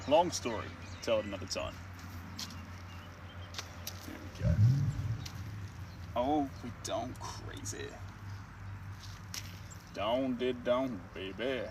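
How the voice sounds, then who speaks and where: A young man with a New Zealand accent, an artist chatting casually to viewers during a live stream, rambling and self-mocking. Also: medium pitch. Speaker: artist livestreaming. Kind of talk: casual live chat while painting. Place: outdoors. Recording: distant mic, steady outdoor and traffic noise.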